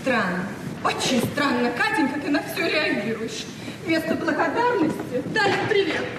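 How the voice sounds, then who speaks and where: An actress playing an officious, self-righteous middle-aged friend, prim and insinuating, in a 1970s Soviet radio play. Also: female voice, sits high, big pitch swings, moderate pace, a loud crowd. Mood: prim, ominous. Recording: noisy, roomy, distant old radio recording.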